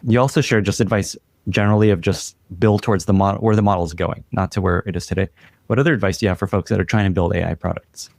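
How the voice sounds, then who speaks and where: Podcast host: man with a somewhat low, gravelly voice, casual and conversational. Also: steady tone, quick pace, a little mellow, dry recording, clean studio sound. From Ukraine. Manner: conversational, easy laughs, thinking aloud. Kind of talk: casual interview chat.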